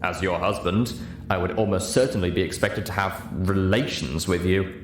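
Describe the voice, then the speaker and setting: A man's voice: sits low, moderate pace, close to an everyday voice. A man voicing a vain, haughty elderly unicorn stallion prince with a pompous manner in an audiobook story. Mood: haughty, offended. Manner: pompous, self-admiring. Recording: roomy echo, clear background hiss.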